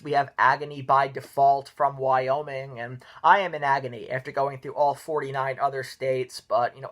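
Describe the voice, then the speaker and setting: A man hosting a casual music review video, chatty and full of fillers, his voice wearing out and a little hoarse. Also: mid-range voice, fairly bright tone. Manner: chatty, peppered with "you know" and "right".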